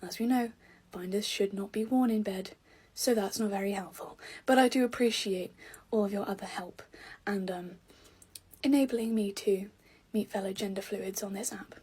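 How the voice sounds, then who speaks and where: A woman's voice: medium pitch, steady tone, normal speed, a little mellow, husky. A gender-fluid woman delivers a playful, wry mock prayer in a mock-earnest tone. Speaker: gender-fluid content creator.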